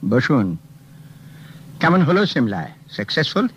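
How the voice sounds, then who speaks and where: An actor playing a sly, scheming book collector who mocks and dodges a detective's questions. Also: male voice, medium pitch, sing-song, unhurried, a little hiss or hum. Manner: mocking, evasive, sly. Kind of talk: 1970s radio drama acting. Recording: dull old radio recording, faint music.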